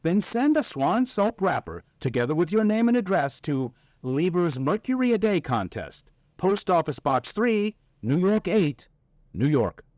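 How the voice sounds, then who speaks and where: Casting: male actor as 1940s radio ad announcer, upbeat and emphatic, highish voice, dry close sound. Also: big pitch swings, unhurried, a little mellow, dry recording. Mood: upbeat selling.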